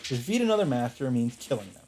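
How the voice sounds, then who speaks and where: A man's voice: mid-range voice, sing-song, quick pace, mellow, slight background noise. A man hosting a let's play, reading game narration aloud with casual asides. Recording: game music faintly behind voice. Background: faint game music.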